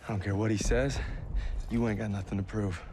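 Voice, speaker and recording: Low-voiced young American soldier played by an actor. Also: male voice, steady tone, a little fast, noisy background, natural voice. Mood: reassuring. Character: steady young American infantry soldier, loyal and reflective. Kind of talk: cinematic war game dialogue and narration.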